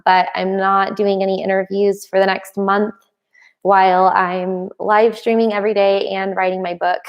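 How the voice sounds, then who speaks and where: A woman's voice: medium pitch, creaky. A young adult woman hosting a casual live stream Q&A, reading out viewer comments and answering them with frequent laughs. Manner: laughs often between remarks.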